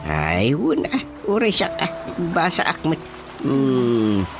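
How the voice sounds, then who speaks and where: A man's voice: medium pitch, wildly swooping pitch, vocal fry, full character voice. An actor playing a playful older village father in a Filipino radio comedy drama, with a Filipino accent and lots of exclamations and hums. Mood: amused. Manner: exclamatory, full of drawn-out interjections and hums. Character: older village father, playful, easily amused, fussing over his coffee. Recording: radio drama with music behind.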